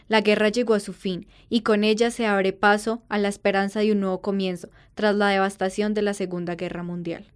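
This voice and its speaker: Clear-voiced female radio news reporter, acted by a woman. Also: medium pitch, natural voice. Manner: formal, measured announcer delivery. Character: solemn female radio news reporter announcing war's end from Paris. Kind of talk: scripted radio news narration.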